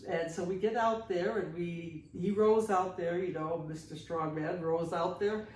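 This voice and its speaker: A woman's voice: fairly low pitch, fairly even intonation, unhurried, a little mellow, slight background noise. A woman in her sixties co-hosting a podcast, telling stories casually with a playful, teasing manner in a roomy recording made at some distance from the mic. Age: 60s. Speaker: podcast host. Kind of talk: casual conversational storytelling.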